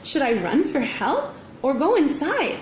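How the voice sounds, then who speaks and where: A woman reading a children's story aloud in an expressive, dramatic teacher's storytelling manner. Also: sits high, unhurried. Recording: roomy echo, faint background noise.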